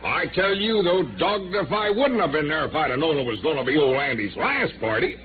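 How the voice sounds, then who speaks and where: An actor playing a jovial, pushy, folksy globetrotter who drawls chummily in 1950s radio drama style. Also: male voice, fairly high pitch, brisk, close to an everyday voice. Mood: boastful, chummy. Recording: old noisy, narrow-band radio recording.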